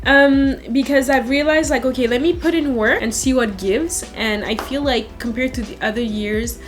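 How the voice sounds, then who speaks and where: A young woman with a mixed British and Nigerian accent talks in a fairly high voice, lively and blunt, laughing often as she chats. She is on a home video call, and soft music plays underneath. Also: moderate pace. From Africa. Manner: lively, frank, laughs often, speaks with emphasis. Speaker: guest beauty creator. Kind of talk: casual chat while doing makeup.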